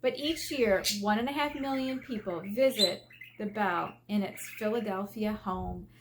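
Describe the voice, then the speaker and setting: A woman's voice: mid-range voice, a little slow, slight background noise. An American woman, an elementary teacher, reading a children's book aloud and explaining it in simple, instructive terms.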